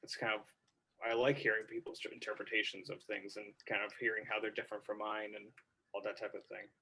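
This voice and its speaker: A man's voice: lowish voice, little pitch movement, fast talker, vocal fry, sharp tone, slight background noise, low-quality recording. A man, a musician, chatting casually about his songs, rambling with lots of fillers. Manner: rambling, full of "like" and "you know". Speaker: musician guest. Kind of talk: casual interview chat.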